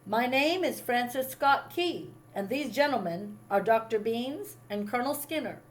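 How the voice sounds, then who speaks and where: A calm storybook gentleman, read by a woman, with a fairly high voice. He speaks politely and reassuringly. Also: measured pace. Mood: courteous. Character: calm, courteous American gentleman prisoner.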